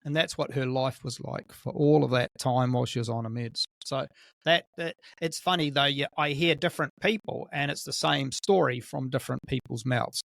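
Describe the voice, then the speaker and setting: A man's voice: mid-range voice, normal speed, vocal fry, fairly bright tone, no room sound. A man hosting a podcast interview, talking in a conversational, empathetic way. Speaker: podcast host.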